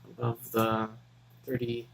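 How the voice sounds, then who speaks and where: A young man from Texas, a student, mutters to himself in a halting, casual way as he works through his notes. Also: medium pitch, completely flat delivery, a bit bright, poor audio. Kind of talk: casual study vlog, thinking aloud.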